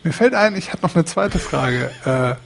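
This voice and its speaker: Laid back podcast dude, slangy and teasing, raspy kinda voice. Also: male voice, on the high side, normal speed. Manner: relaxed, slangy, playfully teasing. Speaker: podcast co-host. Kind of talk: casual chat.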